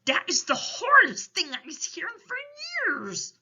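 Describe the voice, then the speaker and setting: A woman's voice: high-pitched, very animated, moderate pace, pushed and bright, muffled recording, voice pushed up, heavily acted. A woman voicing a big friendly male giant from a children's storybook, tender-hearted and speaking in quirky broken grammar. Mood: indignant.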